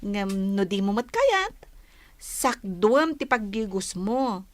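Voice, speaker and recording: A woman with a Filipino accent playing a plucky, sharp-tongued young country woman in a comedy drama. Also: medium pitch, sing-song, slow pace, no room sound. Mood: friendly.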